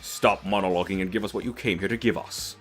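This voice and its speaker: Man as a very low-voiced, haughty young man. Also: steady tone, fast, a bit bright, soft background music. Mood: cold impatience. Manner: imperious, curt. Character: haughty, commanding, impatient rich teenage boy. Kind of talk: anime game fan dub.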